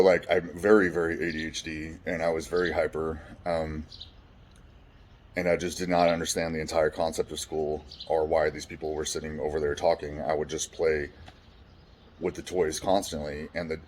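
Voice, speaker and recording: A man with a deep, resonant voice telling his life story frankly, swearing casually and restarting often. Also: slight background noise. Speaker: vlogger. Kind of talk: candid personal storytelling.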